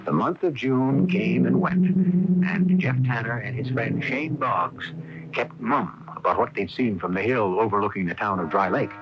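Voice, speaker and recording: An actor narrating a radio mystery in a measured, suspenseful storytelling style. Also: male voice, medium pitch, background noise, natural voice. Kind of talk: dramatic scripted radio narration. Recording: dull old AM radio tape. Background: music.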